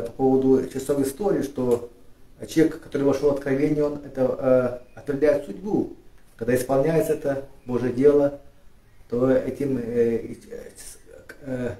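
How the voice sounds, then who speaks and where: A middle-aged pastor from Ukraine preaches in a hesitant, restart-filled way that turns lifted and forceful when he reads scripture. His voice is mellow and dark. He sounds far from the mic in a roomy, echoing space. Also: a little high. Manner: halting, many fillers and restarts, rising emphatic when reading scripture. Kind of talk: sermon. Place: church studio with flags and lit cross. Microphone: far camera. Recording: echoey room, voice from a distance.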